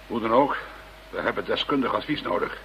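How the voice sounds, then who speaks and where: An actor playing a courteous, measured male investigator in a Dutch radio drama. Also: fairly low pitch, lively intonation, a bit bright. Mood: conciliatory. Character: courteous male investigator, sceptical but open-minded. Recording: muffled, noisy old radio drama recording.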